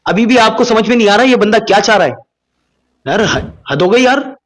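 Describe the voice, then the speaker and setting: A man's voice: high voice, lively intonation, fairly quick, a bit bright. A young man hosting a reaction video, scolding and indignant as he rebuts a clip. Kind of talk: critical reaction commentary.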